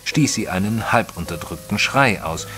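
Deep-voiced gentleman narrator played by an actor. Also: expressive, music in the background, close to an everyday voice. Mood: measured narrating. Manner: formal, measured literary storytelling. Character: male narrator Mister Tristram, educated, observant, easily flustered gentleman. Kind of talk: scripted first-person audio drama narration.

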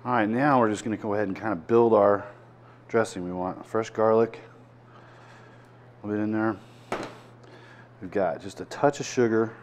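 Casting: chef host in his 60s, lowish voice, relaxed step-by-step demo patter, lapel mic in a restaurant. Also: moderate pace, long pauses, a little hiss or hum. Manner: relaxed, chatty, explains as he works. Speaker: chef and cooking show host. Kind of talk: casual cooking demonstration. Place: restaurant dining room, indoors.

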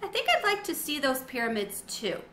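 A woman's voice: high voice, huge pitch swings, fairly quick, bright, forceful tone, pitched above the performer's own voice, full character voice. A woman voicing an eager, earnest young schoolgirl in a children's storybook. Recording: roomy echo, faint background noise.

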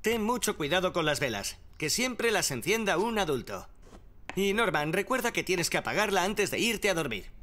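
A man's voice: high voice, big pitch swings, moderate pace, bright, forceful tone, heavily acted. Clumsy earnest cartoon fireman. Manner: earnest, bumbling. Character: clumsy proud young fireman. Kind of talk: cartoon dubbing.

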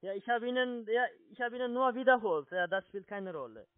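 A man's voice: high-pitched, animated, fairly quick, no echo. A man with a foreign accent, a non-native speaker, insistently repeating spelling instructions as a call centre agent. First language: not German. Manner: insistent, repetitive. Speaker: call centre phone scammer. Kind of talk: phone instructions, spelling out letters. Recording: tinny phone line.

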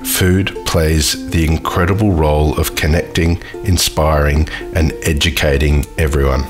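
A man giving scripted narration for a podcast in a measured, even delivery. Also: rumbling low. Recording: loud music bed.

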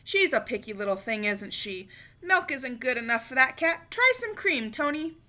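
An amused picture-book dad, read by a woman. The voice is high and lively, teasing his child with wry good humor. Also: normal speed, heavily acted. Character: amused, teasing father cooking in a pizza kitchen.

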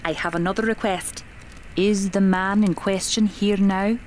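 A woman playing a polite spirit medium in an audio horror drama, speaking in a measured, formal way. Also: mid-range voice, steady tone, darkish tone, a little hiss or hum, lightly acted. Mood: composed. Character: composed, polite female spirit medium leading a séance.

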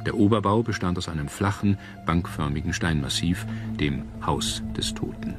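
Low-voiced male documentary narrator. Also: moderate pace. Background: background music.

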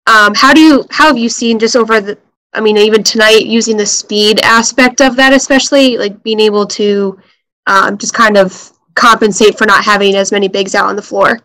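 A woman's voice: mid-range voice, fairly even intonation, moderate pace, sharp tone, low-quality recording. A young woman sports reporter asking a wordy question with fillers over a video call from home. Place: home room, indoors.

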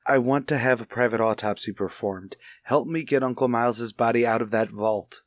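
An actor playing an anxious husband in a radio mystery, halting and earnest as he confides in a friend. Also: mid-range voice, steady tone, no echo, clean studio sound, lightly acted. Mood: grave, uneasy. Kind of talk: radio mystery drama acting.

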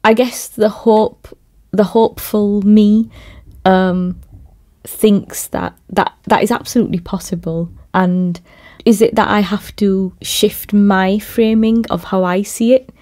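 Slow, thoughtful low-voiced woman. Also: fairly even intonation, a little mellow. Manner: measured, thoughtful, with fillers. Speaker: theatre maker and youth worker. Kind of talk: conversational podcast chat.

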